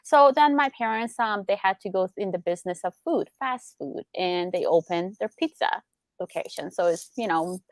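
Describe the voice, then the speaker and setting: Animated woman attorney, dry sound. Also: mid-range voice, moderate pace, dry recording. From Iran. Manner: animated, explains with rhetorical questions. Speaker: business attorney guest. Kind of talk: casual interview chat.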